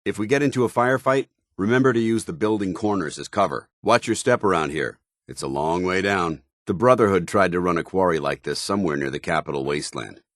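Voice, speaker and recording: An actor playing a stern, disciplined armored soldier in a video game, speaking in formal, clipped military phrases. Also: male voice, low-pitched, a little fast, few pauses, no echo, studio quality, lightly acted. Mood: commanding. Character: stern, dutiful armored soldier paladin, grown man, devout and disciplined. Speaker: companion character. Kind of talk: scripted video game dialogue.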